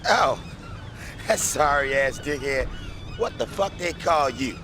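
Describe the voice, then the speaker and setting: An actor playing a hostile, foul-mouthed street thug in a video game, sneering and confrontational. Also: male voice, a little high, expressive, slow, noisy background. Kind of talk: video game cutscene acting.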